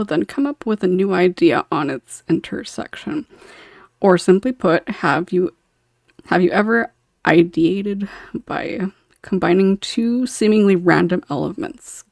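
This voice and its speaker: A woman's voice: medium pitch, dry recording, clean studio sound. A woman hosting a casual panel discussion, chatty and giggly. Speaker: podcast host.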